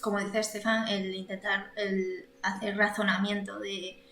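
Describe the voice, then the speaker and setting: A woman's voice: medium pitch, little pitch movement, a little slow, sharp tone, a little hiss or hum. A woman co-hosting a podcast, speaking hesitantly with fillers and trailing phrases. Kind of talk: casual chat. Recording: roomy, echoey sound.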